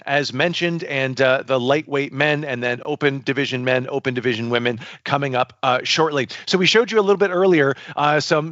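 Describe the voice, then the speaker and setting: A man's voice: fairly high pitch, fairly bright tone, no echo. A man hosting a sports broadcast, upbeat and announcer-like. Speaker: play-by-play host. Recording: dull dry studio audio.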